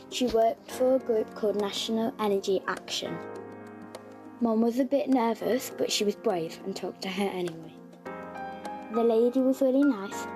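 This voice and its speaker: A woman's voice: medium pitch, natural voice. A woman voicing an earnest young girl. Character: young girl telling of her cold home. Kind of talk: scripted storybook narration. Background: music.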